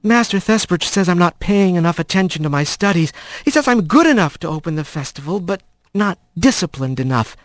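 A man doing a gentle young male squirrel. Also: high voice, animated, a little fast, no room sound, pitched above the performer's own voice. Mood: reassuring.

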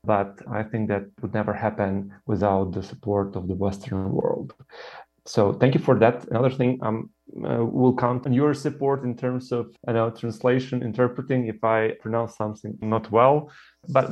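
A man from Ukraine with a Ukrainian accent, answering interview questions in a halting way with fillers and repeated words over a video call. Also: fairly low pitch, little pitch movement, darkish tone, dull audio. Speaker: guest.

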